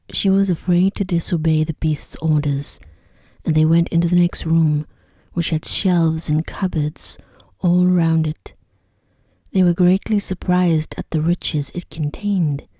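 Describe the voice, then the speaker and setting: Woman, ASMR sleep-story narrator, low pitch, extremely mellow, hushed, careful, close microphone. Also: breathy edge, no room sound. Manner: gentle, hushed, careful enunciation. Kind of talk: soft-spoken ASMR bedtime story narration. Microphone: close microphone in a dry space.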